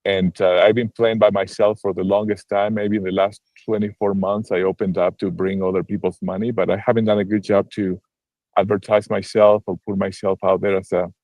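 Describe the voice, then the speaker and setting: A lowish-voiced man from Argentina, speaking on a video call in a dry, close sound. He talks about his work as a broker in a halting way, full of restarts and fillers. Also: little pitch movement, darkish tone, no echo, rough recording. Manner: hesitant, many restarts and fillers. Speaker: real estate broker and investor. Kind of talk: casual livestream call-in chat.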